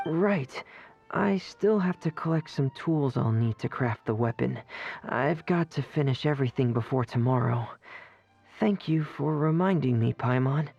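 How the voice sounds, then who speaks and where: An actor voicing a shy, gentle, dreamy teenage boy in a video game, speaking softly and earnestly with some hesitation. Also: mid-range voice, lo-fi sound. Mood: polite. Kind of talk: video game character acting.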